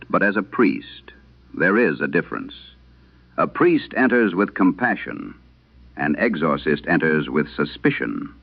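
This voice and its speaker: Deep-voiced old priest played by an actor, grave and haunted. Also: male voice, phone-quality sound, heavily acted. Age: older. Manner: solemn, measured storytelling. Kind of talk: dramatic scripted horror radio monologue.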